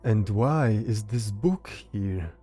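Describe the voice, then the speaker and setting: A man's voice: on the low side, lively intonation, slow pace, warm tone, faint music behind. A man voicing a concerned, earnest temple brother in a fantasy audio drama. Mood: puzzled.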